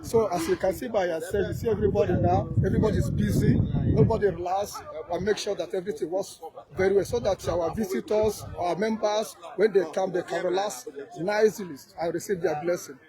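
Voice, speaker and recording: A man's voice: sits high, steady tone, fairly quick, low-quality recording. A man from Nigeria working venue security, explaining the setup earnestly. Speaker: security staff. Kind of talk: interview answers. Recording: loud crowd behind. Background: crowd noise.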